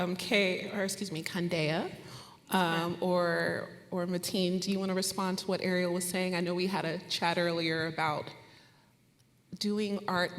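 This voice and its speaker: Low-toned woman moderating in a reverberant hall. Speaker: panel moderator. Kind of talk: moderating a panel discussion. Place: conference hall. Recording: echoey hall with long reverb.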